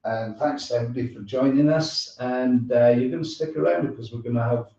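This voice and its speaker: A man hosting a casual live online chat show, rambling and halting as he thanks and appreciates people. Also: mid-range voice, darkish tone. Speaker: show host. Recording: echoey, distant mic, dull sound.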